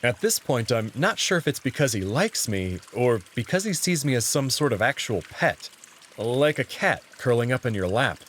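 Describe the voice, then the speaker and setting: A man reads first-person story narration for a visual novel. His mid-pitched voice moves expressively, and there is a faint sound of running water behind it. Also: very animated, moderate pace, fairly bright tone, a little hiss or hum.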